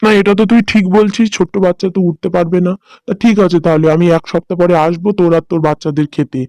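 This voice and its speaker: A hungry cartoon wolf played by a man, bossy and easily fooled. He talks down to others in a grudging way. The voice sits fairly high for a male and sounds very dry and close. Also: steady tone, fast talker, warm tone, no echo. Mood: menacing, gullible. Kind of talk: cartoon voice acting.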